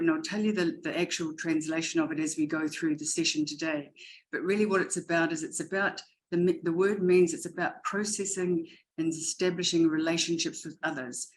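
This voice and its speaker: Flat-toned New Zealand woman speaker. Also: deep voice, a little hiss or hum, poor audio. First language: Māori. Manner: earnest and steady, with repeated rhetorical questions. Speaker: education coach and panelist. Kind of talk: warm motivational talk.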